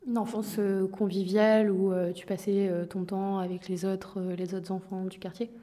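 A woman asking informal interview questions, gentle and hesitant with fillers. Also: medium pitch, level tone, normal speed.

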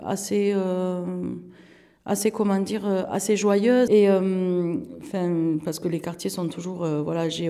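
This is a woman in her thirties, originally from Turkey, whose first language is Turkish. She speaks slowly with a mellow, rounded tone in the middle pitch range. Her talk is halting, full of fillers and restarts. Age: 30s. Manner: hesitant, many fillers and restarts. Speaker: interviewee. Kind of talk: casual recollection in an interview.

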